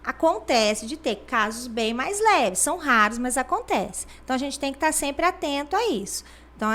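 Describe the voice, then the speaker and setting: A woman's voice: high voice, bright, forceful tone. A woman, a regional health official explaining things in an interview with many fillers. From Brazil. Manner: explanatory, many fillers like "né", acts out quoted people. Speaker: regional health superintendent, guest.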